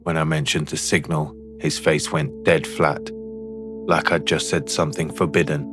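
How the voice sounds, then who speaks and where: A man playing a haunted signal technician, telling a horror story in the first person with deliberate, broken phrases. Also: extremely low pitch, level tone, music in the background. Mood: haunted, uneasy.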